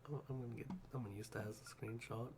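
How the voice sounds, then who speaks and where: A man's voice: mid-range voice, fairly even intonation, rapid-fire, a little mellow, a little hiss or hum. A man reading a visual novel aloud in a playful way, dropping joking asides between lines.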